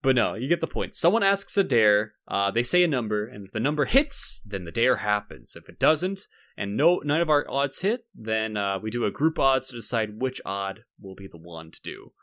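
A man's voice: fairly low pitch, very animated, normal speed, few pauses, a bit bright, no room sound, clean studio sound. A man co-hosting a podcast in casual banter, with a jokey, playful and rambling way of talking. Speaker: podcast co-host.

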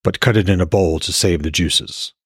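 A man voicing a gentle, reassuring male hero in a fantasy audiobook, with a soft, coaxing manner. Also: sits low, moderate pace, breathy edge, no echo, studio quality. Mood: reassuring, explaining. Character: gentle, reassuring blind god of Norse fantasy.

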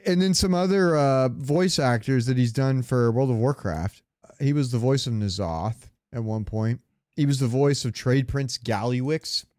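A man's voice: medium pitch, a little slow, a little mellow, dry recording, clean studio sound. A man co-hosting a podcast in casual comedic chat, rambling through jokey, sweary stories. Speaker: podcast co-host.

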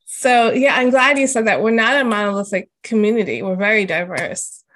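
A woman's voice: mid-range voice, moderate pace, fairly bright tone. A woman in her thirties hosting a podcast interview, chatting casually, agreeing and sharing personal stories. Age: 30s. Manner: conversational, agreeing, tells personal anecdotes.

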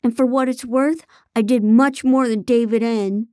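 A woman voicing a dim, muscle-bound male lackey who insists and boasts in a whiny way, pitched very high. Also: fairly even intonation, normal speed, darkish tone, dry recording, studio quality, natural voice. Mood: boastful.

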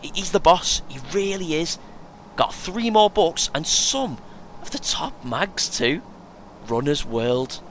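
An actor playing a chatty, self-important young British amateur runner in an audio play, earnest and keen, chuckling often. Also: male voice, expressive, slow pace, fairly bright tone, heavily acted, rough recording. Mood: eager, preachy. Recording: faint vehicle noise behind. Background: vehicle rumble, coach ambience.

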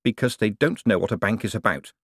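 A man voicing a glib, self-assured young male banker who talks briskly. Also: fairly low pitch, husky, dry recording, studio quality.

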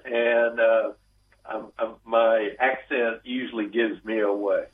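A man from Texas with a Southern accent, a guest author talking slowly and haltingly in an interview. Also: lowish voice, flat delivery, narrow, tinny audio, a little hiss or hum. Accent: Southern US, Texan. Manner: slow, halting, with chuckles. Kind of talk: interview conversation.

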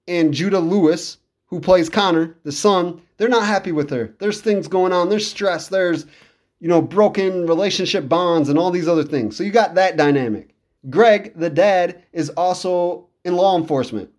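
A man's voice: high-pitched, darkish tone. A man in his thirties giving casual, enthusiastic solo commentary as a podcast host, in a conversational way. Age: 30s.

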